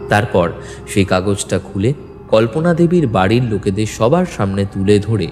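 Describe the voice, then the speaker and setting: A man's voice: on the low side, animated, normal speed, a little mellow. A man reading scripted dramatic narration as the storyteller of an audio drama. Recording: long reverb, music underneath. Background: background music.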